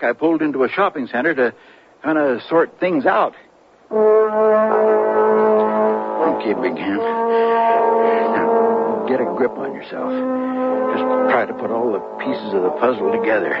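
An actor playing a flustered, earnest CB radio buff who stammers and insists his tall tale is true, in 1970s radio drama style. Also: mid-range voice. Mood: nervous. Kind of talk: 1970s radio drama acting, recounting a story. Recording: dull 1970s radio broadcast, music behind.

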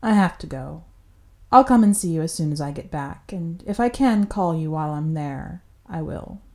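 A gentle young woman with a soft, mellow voice, as a woman reads her lines. She stays patient and a little apologetic but will not give way, her tone low and warm. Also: expressive, normal speed. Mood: gently firm.

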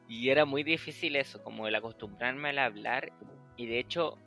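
A chatty young man from Chile hosts a casual podcast, his voice bright and forward. He slips into acted-out dialogue and laughs a lot, with faint music behind him. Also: mid-range voice, normal speed. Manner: chatty, acts out past conversations, laughs often. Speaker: podcast host. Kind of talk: casual chat.

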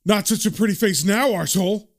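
A man's voice: sits high, fast talker. A man voicing a thuggish, vengeful British man in a comic book retelling. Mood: menacing. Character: furious, thuggish British man bent on revenge.

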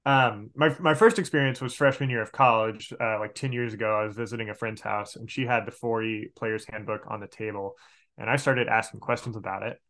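A man in his late twenties chatting casually as a podcast guest, animated and repeating words as he explains. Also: lowish voice, a little fast. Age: late 20s. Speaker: podcast guest, game master. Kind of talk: casual chat.

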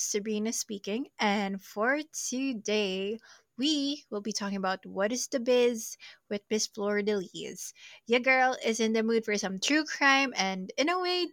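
Young woman, true crime podcast host, chatty and jokey, slightly high voice, dry close sound. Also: sharp tone, no echo. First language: not Portuguese. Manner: chatty, jokey, self-deprecating asides.

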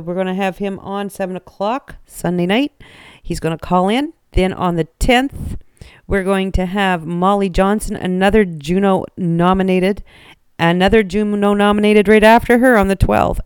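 A woman hosting a casual radio show, chatty and animated, laughing often. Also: medium pitch, clear, resonant tone, dry recording, clean studio sound.

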